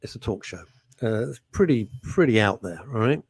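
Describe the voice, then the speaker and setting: A man's voice: on the low side, normal speed, vocal fry, slightly rough, dry recording. A man co-hosting a radio talk show, telling rambling stories with laughs and false starts in casual studio chat. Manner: lively, rambling storyteller with laughs and restarts.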